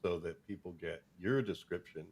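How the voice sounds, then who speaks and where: A man's voice: low voice, normal speed, darkish tone, slightly rough. A man hosting a casual talk show, chatting in a rambling way as he thinks aloud. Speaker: host of a fan fiction show. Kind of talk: casual chat.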